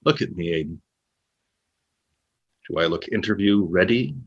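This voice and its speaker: A man's voice: sits low, lively intonation, normal speed, breathy edge, highs cut off, heavily acted. An actor playing a homeless man in a stage play, bitter, wry and pointed as he presses his case. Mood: bitter, subdued. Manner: pointed, needling, pleading. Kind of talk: naturalistic stage play acting.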